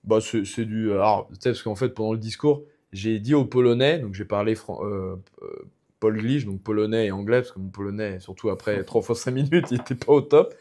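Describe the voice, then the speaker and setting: A man's voice: lowish voice, fairly quick, dark tone. Young French guy, fighter guest on a chat podcast, rambling and filler-heavy. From France. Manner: rambling, many restarts and fillers. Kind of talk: casual podcast conversation.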